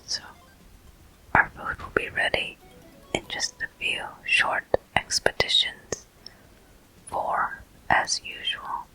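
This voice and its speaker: A whispering game host giving soft, deliberate ASMR commentary with frequent pauses, close to the microphone. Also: rough recording. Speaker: host of gameplay walkthrough.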